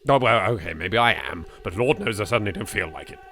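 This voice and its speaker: A man's voice: on the low side, expressive, quick pace, creaky, fairly bright tone. A man with an English accent doing let's play commentary, reading game text dramatically and adding sweary, jittery, sardonic asides. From England. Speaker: video game let's player.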